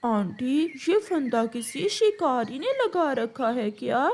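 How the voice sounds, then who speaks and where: A woman voicing a carefree, greedy young bird boy in a children's cartoon, childlike and excitable. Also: sits high, slow pace, slight background noise, voice pushed down, heavily acted. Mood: anxious.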